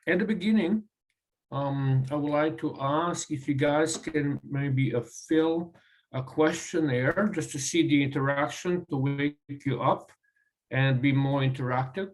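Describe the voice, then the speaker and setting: A man from Croatia with a Croatian accent, a guest speaker giving a presentation over a video call, pausing often with ums and uhs. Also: fairly high pitch, low-quality recording. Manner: halting, with frequent ums and uhs. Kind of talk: online presentation.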